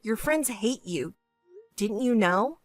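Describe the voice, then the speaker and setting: A woman's voice: mid-range voice, lively intonation, takes it slowly, dry recording, faint music behind. Woman acting a cold, stern, fed-up sister. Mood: unsympathetic. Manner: cold, firm, scolding. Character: cool, stern, fed-up sister with a firm grudge. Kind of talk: dramatized story narration.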